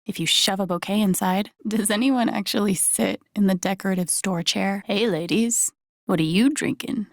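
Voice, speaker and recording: A voice actress playing a wry, warm-hearted young woman who mutters her thoughts to herself in a naturalistic, understated video game style. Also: medium pitch, normal speed, dry recording, lightly acted. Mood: wry, amused. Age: young adult. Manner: dry, understated, self-talking wit.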